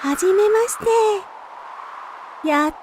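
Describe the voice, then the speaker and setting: A gentle spirit of nature, acted by a woman in a children's storybook. The voice is high, kindly and coaxing, encouraging a little one along. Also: takes it slowly, noisy background. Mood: kindly greeting. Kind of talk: children's picture-story character acting.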